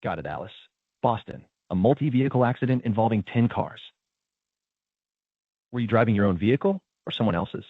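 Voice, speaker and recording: Male automated claims agent, lowish husky voice, very varied intonation, polite, procedural, phone-like sound. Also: animated, brisk, long pauses, dry recording. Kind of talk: scripted customer service dialogue. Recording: narrow tinny phone-like sound.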